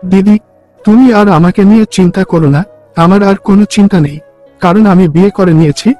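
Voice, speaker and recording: A man's voice: sits high, a little fast, mellow, no room sound, faint music behind, close to an everyday voice. A man voicing an earnest, devoted young orphan brother in a cartoon story. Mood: grateful, reassuring.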